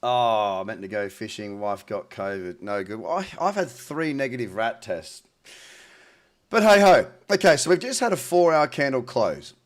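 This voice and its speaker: A man with a slightly nasal, head-cold voice, giving informal, matey market commentary as the host of a trading show. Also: mid-range voice. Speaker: host, crypto trading analyst. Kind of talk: casual market commentary to camera.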